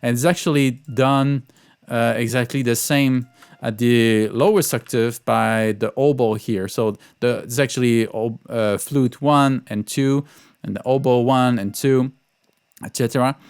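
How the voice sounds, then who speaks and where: A man's voice: mid-range voice, steady tone, unhurried, clean tone, no echo. A man talking through an orchestration lesson as a composer, informally and hesitantly, thinking aloud as he goes. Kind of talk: informal tutorial walkthrough.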